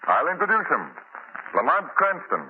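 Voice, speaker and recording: An actor playing a hearty, businesslike ship's captain in a 1930s radio drama. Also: male voice, fairly high pitch, huge pitch swings, normal speed. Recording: very noisy, tinny 1930s radio recording.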